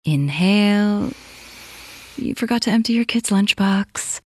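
A woman voicing a playful radio commercial with a calm, guided-breathing delivery. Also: mid-range voice, unhurried, husky, dry recording, rough recording. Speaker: ad voice-over. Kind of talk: playful scripted commercial read. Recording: dry studio with light music.

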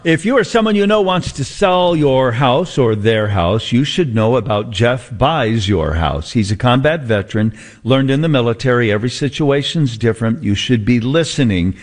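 An American man hosting radio talk in a conversational, unhurried storytelling way, drawing out phrases for suspense. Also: medium pitch, animated. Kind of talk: conversational radio talk, reading listener messages.

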